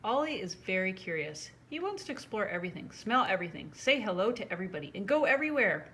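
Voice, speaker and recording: A woman reading a picture book aloud to children in a casual, conversational way, pausing to put questions to the listener. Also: mid-range voice, big pitch swings, pushed and bright, husky. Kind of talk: casual read-aloud with chatty asides to young viewers.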